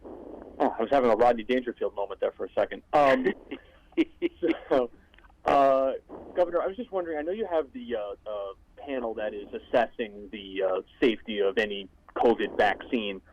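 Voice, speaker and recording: A man's voice: mid-range voice, moderate pace, a little hiss or hum. Male reporter on a dull phone line. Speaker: news reporter. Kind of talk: spontaneous press question. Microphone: telephone.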